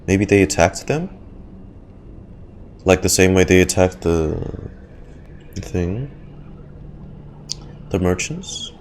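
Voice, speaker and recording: A man's voice: deep voice, lively intonation, slow pace, pauses often, a little mellow, a little hiss or hum. A man giving casual reaction commentary as a game streamer, musing and speculating aloud.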